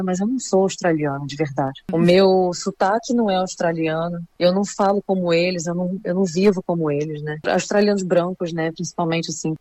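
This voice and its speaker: A woman's voice: fairly low pitch, a little mellow, no room sound. Brazilian woman, casual and close-sounding. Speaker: interviewee. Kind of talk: casual interview answers, personal reflection.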